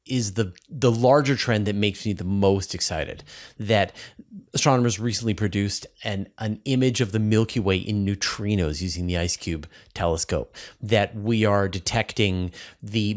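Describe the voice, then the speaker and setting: Enthusiastic man hosting a science discussion. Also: fairly low pitch. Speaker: host of a space science show.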